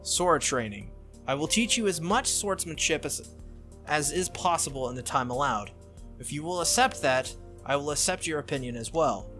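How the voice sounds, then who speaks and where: Visual novel swordswoman, played by a man: young, stern, formal, earnest, bright delivery. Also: a little high, animated, moderate pace, faint music behind. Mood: firm, resolute.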